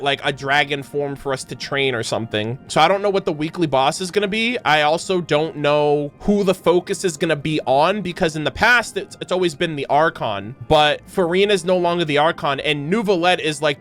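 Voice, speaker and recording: A man's voice: on the high side, a bit bright. A man giving casual, off-the-cuff commentary as a gaming content creator, rambling as he thinks aloud. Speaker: video game reaction content creator. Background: background music.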